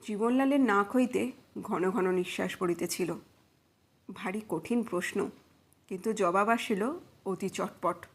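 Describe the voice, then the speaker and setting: A woman's voice: mid-range voice, a little slow, long pauses. A woman reading a literary detective story aloud as scripted audiobook narration.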